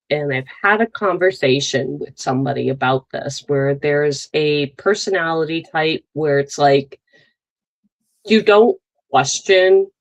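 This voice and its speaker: A woman in her thirties with a low voice, talking slowly and unhurriedly. She rambles through her point, circling back with fillers and restarts as she co-hosts a casual chat. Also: low-quality recording. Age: 30s. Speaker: podcast co-host.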